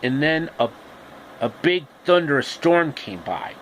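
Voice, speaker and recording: A man telling an improvised comic retelling of a fairy tale, haltingly and repeating phrases. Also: on the high side, rough recording. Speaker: storyteller. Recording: steady background noise.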